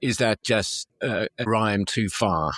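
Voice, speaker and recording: A man's voice: on the low side, slow pace, fairly bright tone, no echo, studio quality. A man hosting a conversational interview, putting questions and remarks to his guest. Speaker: interviewer, host.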